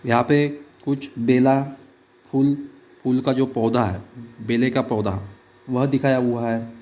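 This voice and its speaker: A male schoolteacher talks through a children's picture lesson. His pitch sits in the ordinary middle range. He speaks in short, halting phrases and often stops on filler sounds. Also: fairly even intonation, darkish tone. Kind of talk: online class lesson.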